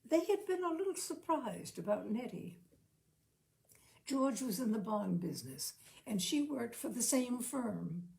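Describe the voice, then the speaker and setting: A woman's voice: on the high side, very animated, a little slow, vocal fry, darkish tone. A woman narrator reading a literary short story expressively and acting out the characters' dialogue.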